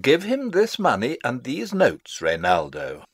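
A man's voice: mid-range voice, wildly swooping pitch, unhurried, slightly rough, dry recording, clean studio sound, full character voice. An actor playing a fussy, long-winded old father and royal counsellor in a theatrical Shakespeare reading, pompous and rambling. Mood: fussily instructing. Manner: rambling, pompous, theatrical, losing his thread. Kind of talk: dramatic reading of a Shakespeare play.

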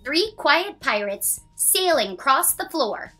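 Woman, thirties, unhurried children's read-aloud, bright voice, sing-song delivery, music behind. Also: sits high. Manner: expressive, sing-song, drawn-out reading to a young child. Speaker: host reading children's books aloud. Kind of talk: children's picture-book read-aloud with counting along.

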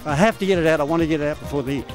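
A man's voice: fairly high pitch, racing, soft background music. A middle-aged man, a filmmaker, talking in a matter-of-fact way about his projects in front of an event backdrop.

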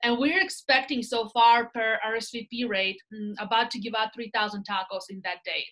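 A woman in her thirties with a Russian accent, chatting as a guest on a video call about her work, animated and often asking "right?". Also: on the high side, moderate pace, rough recording. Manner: animated storyteller, gestures with her hands, tags lines with "right?". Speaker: real estate team leader, guest. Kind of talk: casual interview chat. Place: small indoor room. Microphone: laptop webcam video call.

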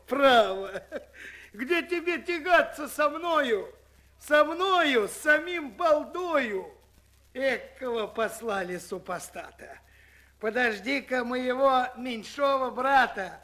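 A man's voice: very high voice, lively intonation, slow, sharp tone, exaggerated voice. A man voicing a strong, cheeky peasant farmhand on a children's story record, speaking boldly and mockingly.

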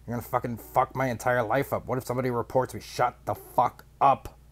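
A man in his thirties putting on a smug rival streamer who cheerfully admits to being a villain, speaking deadpan into a studio mic in a small home studio. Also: mid-range voice, brisk, voice pushed up, lightly acted. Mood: panicked. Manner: deadpan mock-confession, sarcastic. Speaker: podcast guest doing a mocking impression. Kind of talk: sarcastic in-character rant. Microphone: studio mic on boom arm, headphones.

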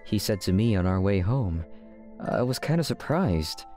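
A man's voice: fairly low pitch, very animated, normal speed, darkish tone, soft background music. A man as a casual teenage schoolboy narrator. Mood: deadpan, narrating. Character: guarded, deadpan teenage schoolboy narrating his story. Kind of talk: scripted first-person manga dub narration.

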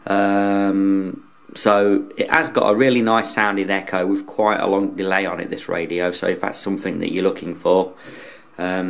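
Male product reviewer, lowish voice, informal and unscripted, plain-spoken, feels his way through sentences. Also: creaky. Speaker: radio hobbyist reviewer.

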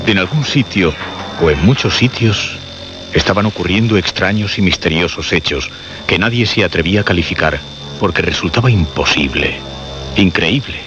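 An actor as a solemn, portentous narrator of a radio suspense drama. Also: male voice, sits low, animated. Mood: ominous. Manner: measured, portentous. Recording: dull radio recording with loud music bed. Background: music.

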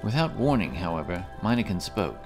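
A man's voice: low-pitched, big pitch swings, fairly quick, music in the background. A man reading a scripted fantasy story as an audiobook narrator.

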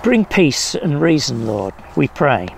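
Slow, gravelly older man reading prayers. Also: a little high, sing-song, darkish tone. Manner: solemn, measured phrasing. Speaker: prayer leader. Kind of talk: reading prayers at a church service. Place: outdoors in a leafy field or garden. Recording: faint outdoor background noise.

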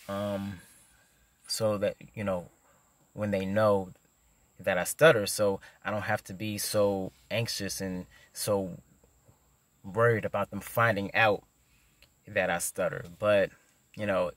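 Slow, low-voiced man who stutters. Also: fairly bright tone, no room sound. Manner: stutters, with repeated words and many fillers. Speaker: vlogger. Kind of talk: casual advice vlog. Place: parked car, indoors. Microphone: phone camera at arm's length.

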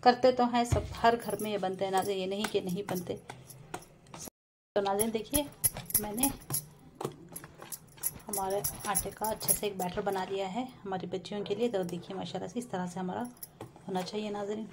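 Female home-cooking vlogger, unhurried and homely, speaking to viewers over a sizzling pan. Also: medium pitch, fairly even intonation, noisy background. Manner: addresses viewers often as nazreen, unhurried and homely. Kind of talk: casual step-by-step cooking narration. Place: home kitchen beside frying pan. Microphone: phone camera over the stove, hands only. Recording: home kitchen with loud frying sizzle. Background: oil sizzling in the pan.

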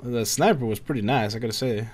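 A man giving casual, profane gameplay commentary, thinking out loud and reacting as he plays. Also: mid-range voice, fast. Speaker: gamer recording a playthrough.

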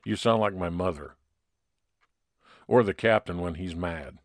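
A man playing a deep, gravelly, grumbling police detective. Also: measured pace, no room sound, studio quality. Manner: grumbling, brusque. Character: gruff, grousing male police detective. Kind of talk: old-time radio detective drama.

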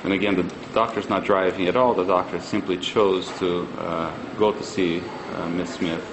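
Low monotone man demonstrating a product, gravelly. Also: normal speed. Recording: clear room hum and ambient noise.

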